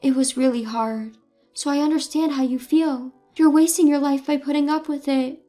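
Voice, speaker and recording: A woman voicing a kind older woman who gently consoles a friend. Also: high voice, fairly even intonation, moderate pace, soft background music, close to an everyday voice. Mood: consoling. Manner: soft, consoling.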